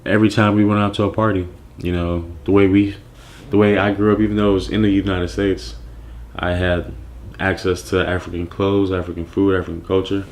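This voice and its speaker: A man born in Nigeria speaks with a very low voice, recalling how his clothing brand came about. He talks in a loose, reflective way, pausing on fillers and restarting his sentences as he thinks. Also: flat delivery, a little mellow. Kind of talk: personal story monologue.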